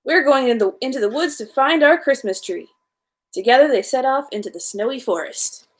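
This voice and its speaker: A woman's voice: high-pitched, expressive, fairly quick. A woman hosting a children's story time, reading a storybook aloud in an informal way with small stumbles.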